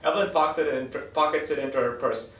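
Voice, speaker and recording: A man's voice: on the high side, fast, a bit bright. A man reading screenplay stage directions as scripted narration at a table read. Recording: echoey room from far off, noisy.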